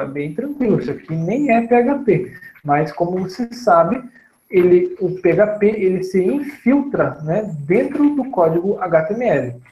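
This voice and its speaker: A man's voice: sits high, echoey room, rough recording. Chatty man walking through code. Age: 30s. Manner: relaxed, chatty, frequent "né?" and "tá?" check-ins. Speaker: programming instructor. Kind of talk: screen-recorded coding tutorial.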